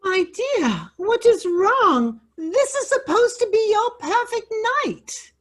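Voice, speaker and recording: A woman's voice: very high-pitched, big pitch swings, takes it slowly, heavily acted. A woman playing a doting, scheming fairy godmother in a staged reading, cooing and dramatic. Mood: fussing. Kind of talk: staged reading of children's theater.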